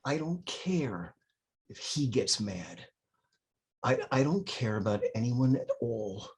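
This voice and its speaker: A man's voice: mid-range voice, expressive, takes it slowly, darkish tone. A man playing a taunting, contemptuous demonic alter ego of about forty in a film script table read. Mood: cold contempt. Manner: goading, insinuating.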